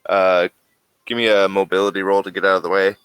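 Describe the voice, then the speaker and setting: A man narrating a tabletop role-playing game as game master in a casual, halting way, thinking aloud as he goes. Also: deep voice, level tone, a bit bright, smooth, dry recording, rough recording. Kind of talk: casual, improvised game narration and rules talk.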